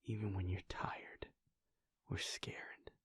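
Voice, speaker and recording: A man voicing a weary, devoted male vampire prince in a soft, intimate whisper, speaking in slow, halting, heartfelt confessions. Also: no echo. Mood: tender, hushed. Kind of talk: whispered audio roleplay.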